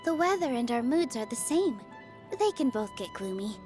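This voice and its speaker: A woman voicing a gentle, sunny young dancer in a video game, earnest and encouraging, with a sweet, coaxing manner. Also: a little high, sing-song, moderate pace, soft background music. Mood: reassuring. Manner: sweet, soft-hearted, bubbly, coaxing. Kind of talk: scripted video game character lines.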